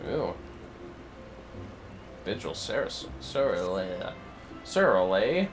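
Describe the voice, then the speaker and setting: A man's voice: fairly low pitch, very animated, slow pace, music in the background. A man giving casual, playful livestream commentary on a video game, muttering asides to himself.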